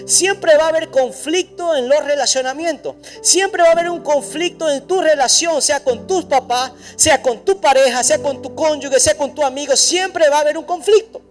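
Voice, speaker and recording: A pastor preaching a sermon in a church, emphatic and repetitive, speaking straight to his congregation. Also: very high-pitched. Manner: addresses the congregation directly.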